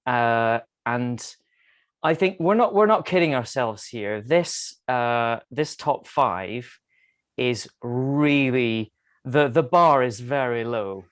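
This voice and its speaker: A man with a medium-pitched voice who speaks slowly. He is measured and hesitant, discussing films casually as a member of a podcast panel.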